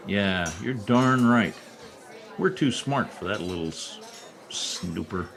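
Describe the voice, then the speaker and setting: A man's voice: fairly low pitch, lively intonation, slow pace, creaky, fairly bright tone, full character voice. A man acting a wry, scheming newspaper reporter in an old-time radio comedy, with a theatrical delivery. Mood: conspiratorial.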